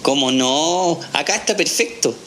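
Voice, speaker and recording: A methodical male lab technician in an audio drama, heard over clear noise. He is deferential and courteous, and gives procedural instructions in short repeated phrases. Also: a little high, animated, a little slow, a bit bright. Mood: courteous, obliging. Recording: clearly noisy recording.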